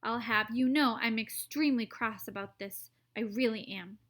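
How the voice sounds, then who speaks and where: A woman's voice: a little high, moderate pace, fairly bright tone, close to an everyday voice. A woman voicing a pompous, indignant well-to-do father in a children's storybook. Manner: pompous, huffy.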